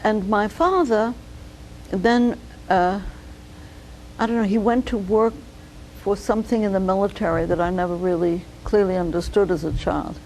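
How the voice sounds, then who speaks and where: An older woman, a physician, telling her life story slowly and thoughtfully in an oral history interview. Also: medium pitch, steady tone, a little mellow, slight background noise, rough recording. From United States. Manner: measured, reflective storytelling.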